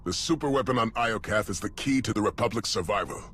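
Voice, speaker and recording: An actor playing a proud, stubborn older supreme commander in a video game, gruff and defiant. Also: male voice, on the low side, quick pace, fairly bright tone. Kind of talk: video game cutscene acting.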